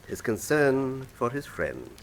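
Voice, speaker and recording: An actor playing an urbane, ruthless professor of crime in a radio drama, pompous and mock-courteous. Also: male voice, medium pitch, very animated, slow pace, slight background noise. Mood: silky menace. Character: urbane, ruthless criminal mastermind, male professor.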